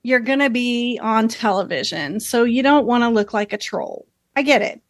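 A woman's voice: a little high, animated, normal speed, no room sound, clean studio sound. A woman co-hosting a podcast, giving opinions in an emphatic, casual way. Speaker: podcast co-host. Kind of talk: casual chat.

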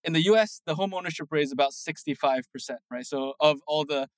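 A man in his thirties from Singapore, a startup founder answering interview questions in a quick, animated way with many restarts. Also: a little high, dry recording. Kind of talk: casual interview talk.

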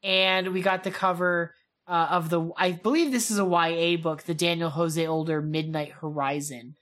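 A woman's voice: on the low side, fairly even intonation, moderate pace, fairly bright tone. A woman co-hosting a podcast, chatty and enthusiastic in casual talk. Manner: chatty, enthusiastic, slangy, says dude and hype. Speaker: podcast co-host. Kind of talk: casual chat.

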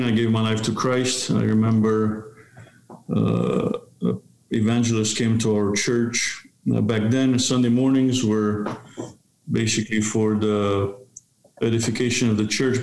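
A man in his fifties from Romania, a seminary professor answering an interview in a measured way with many fillers. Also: lowish voice, vocal fry, darkish tone, roomy sound, rough recording. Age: 50s.

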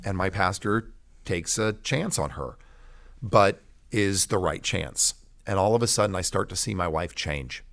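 A man, a pastor, telling his personal testimony in conversation as a guest, emphatic, with deliberate pauses and repeated phrases. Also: low voice, vocal fry, a bit bright.

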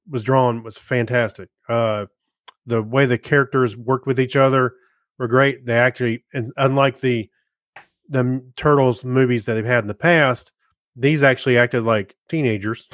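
A man chats as a podcast co-host, giving informal reviews. His voice sits at an average pitch and is recorded dry, and he often stops to correct himself mid-thought. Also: no room sound. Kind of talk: casual review chat.

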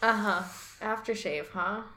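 A woman co-hosts a podcast in easy, relaxed conversation. Her voice sits in the middle of the range, and she laughs often between her thoughts. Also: mid-range voice, unhurried, slight background noise. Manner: laughs easily, chatty. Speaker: podcast co-host. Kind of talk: casual chat.

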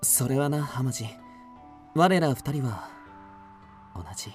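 A man playing an earnest, gentle but resolute young samurai in a period radio drama, speaking formally in courteous archaic phrases. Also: medium pitch, lively intonation, slow, soft background music. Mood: resolute, tender.

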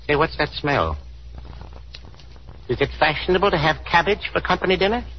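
Homespun middle-aged dad, high-pitched grumbler, rough-edged voice, 1930s radio play with dull sound. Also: male voice, expressive, normal speed. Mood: grumbling. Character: grumpy, plain-spoken middle-aged husband and father. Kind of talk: 1930s radio comedy-drama acting. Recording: muffled vintage 1930s radio broadcast.

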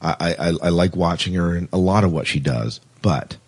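A man with a very low, rough-edged voice chats casually as a podcast co-host. He is enthusiastic and repeats words for emphasis. The sound is close and dry, with little room on it. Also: a little fast, dry recording. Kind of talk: casual chat about sci-fi TV.

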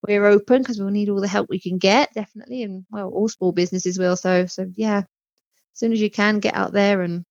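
A woman's voice: mid-range voice, steady tone, brisk, a little mellow, no echo, dull audio. A woman speaking as an interview guest about her own work in a chatty, informal, self-deprecating way. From outside Mexico, not American. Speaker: interview guest, small restaurant and shop owner. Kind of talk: conversational interview.

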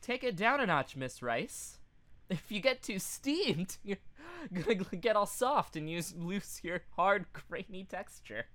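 A man's voice: high voice, lively intonation, measured pace, fairly bright tone. A man co-hosting a comedy podcast, throwing in casual wisecracks and puns as banter. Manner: wisecracking, punning interjections. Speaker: podcast co-host.

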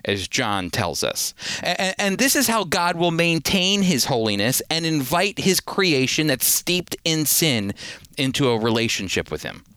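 Lively, earnest male Bible teacher. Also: on the high side, measured pace, smooth, dry recording, studio quality. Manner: earnest, emphatic, repeats words when starting. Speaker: radio teacher.

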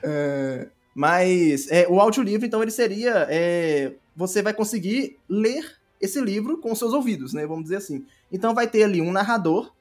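High-pitched Brazilian man, chatting over music. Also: animated, moderate pace. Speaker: podcast guest, audio drama creator. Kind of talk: casual explanatory interview talk.

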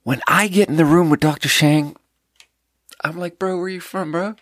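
A man's voice: a little high, fairly even intonation, moderate pace, fairly bright tone, no echo, studio quality. A man from Sweden, hosting a podcast, telling a rambling, profane story in casual chat and laughing at his own jokes. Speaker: podcast host.

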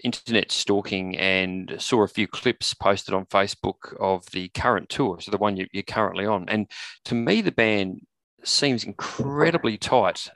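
A man's voice: fairly low pitch, fairly bright tone, no room sound. A man hosting a casual interview, speaking tentatively and circling into his questions with repeated words. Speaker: podcast interviewer.

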